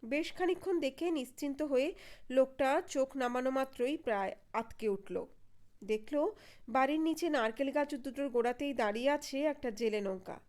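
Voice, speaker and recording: Female narrator for detective audio fiction, fairly high voice, dramatic scripted storytelling. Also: normal speed, darkish tone.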